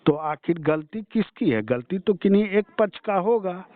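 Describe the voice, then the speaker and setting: A man's voice: high-pitched, expressive, moderate pace. A man interviewing over the phone, asking polite, probing questions. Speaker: news channel interviewer. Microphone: phone call. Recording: narrow, dry phone line.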